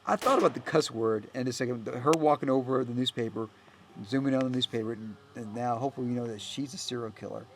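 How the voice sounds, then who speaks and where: A man with a gravelly, creaky voice talks through a film as its director. His delivery is casual and unscripted, halting and rambling, often trailing off. There is noticeable noise in the recording. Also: medium pitch. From United States. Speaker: filmmaker and actor giving director's commentary.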